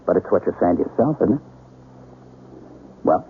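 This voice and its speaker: An actor playing a serene, mysteriously knowing man in a 1970s radio drama, speaking in insistent, deliberate short phrases. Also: sits low, animated, slightly rough, heavily acted. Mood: confident, probing. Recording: dull lo-fi old radio broadcast.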